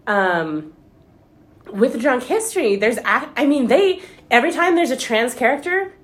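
A woman's voice: high-pitched, animated, unhurried. A woman interviewing a guest in a casual conversation, chatty and eager with many filler words. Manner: chatty, eager, lots of "like" and "you know".

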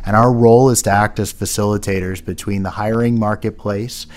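Enthusiastic Midwestern man, low voice. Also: fairly quick. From Midwestern United States. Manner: energetic, uses rhetorical questions and emphatic phrasing. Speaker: career centre manager, guest. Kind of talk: casual interview answers.

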